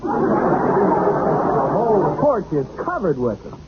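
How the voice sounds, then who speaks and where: An American actor playing a grumbling, easily riled suburban husband in an old radio comedy. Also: male voice, high voice, slow, full character voice. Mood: puzzled. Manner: grumbling, animated. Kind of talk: 1940s-50s radio comedy acting. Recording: muffled, hissy old radio recording.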